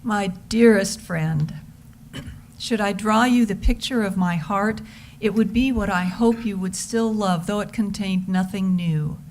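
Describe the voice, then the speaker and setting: A woman giving a formal, measured dramatic reading of an old letter. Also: medium pitch, a little mellow, a little hiss or hum. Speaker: reader in an amateur readers theater.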